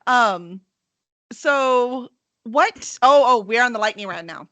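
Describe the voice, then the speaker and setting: A woman interviewing in a casual, playful way, chatty, expressive and enthusiastic. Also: a little high, takes it slowly, dry recording. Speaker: podcast interviewer.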